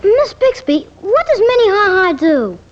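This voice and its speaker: A woman's voice: very high voice, big pitch swings, measured pace, full character voice. An American woman playing an eager, cocky youngster in an old radio comedy. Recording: muffled old-time radio recording.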